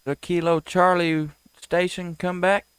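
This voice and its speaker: A man's voice: sits high, flat delivery, measured pace, very noisy. A man, hosting an amateur radio live stream with casual, folksy, friendly chat and station calls. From United States.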